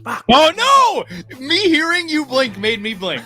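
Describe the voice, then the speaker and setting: A man co-hosts a comedy podcast with an excitable, jokey energy. His voice is high and very lively, jumping sharply up and down as he mock-brags. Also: measured pace, soft background music. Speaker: podcast co-host. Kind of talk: casual comedic chat.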